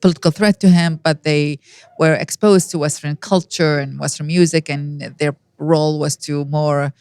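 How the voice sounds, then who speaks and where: A woman in her fifties from Iraq with an Iraqi accent, telling personal stories as an interview guest in an animated way. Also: low-pitched, moderate pace, clean tone, dry recording. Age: 50s. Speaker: podcast guest.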